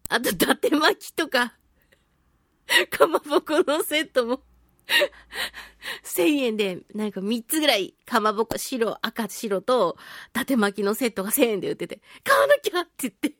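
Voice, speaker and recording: Female radio host, high voice, highly animated delivery, casual playful storytelling. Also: moderate pace, no echo, studio quality. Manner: animated, chatty storyteller with playful self-mockery. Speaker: host of a talk radio show, voice actress. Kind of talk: casual monologue, telling a personal anecdote.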